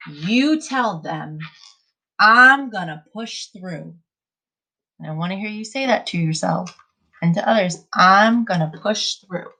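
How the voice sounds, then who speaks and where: A woman's voice: mid-range voice, pushed and bright, rough recording. A woman in the role of a teacher reading a picture book aloud to children, speaking directly to them with emphatic encouragement.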